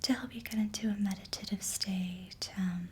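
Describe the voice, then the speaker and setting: Softly whispering woman ASMR host. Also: medium pitch, steady tone, slight background noise. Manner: soft whispering, slow and soothing. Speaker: ASMR host giving a reiki session.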